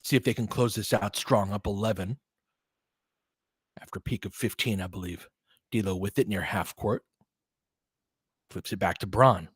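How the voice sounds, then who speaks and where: A man calling basketball play-by-play with quick, excitable calls and chatty asides, on a close mic at home. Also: lowish voice, slightly rough, no room sound. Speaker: play-by-play commentator. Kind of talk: fan-made basketball play-by-play commentary.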